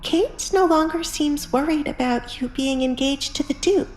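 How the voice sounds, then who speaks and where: Woman acting a timid young maid, high voice, meek and earnest, audiobook drama, echoey with music behind. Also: normal speed, close to an everyday voice. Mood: earnest, hurt.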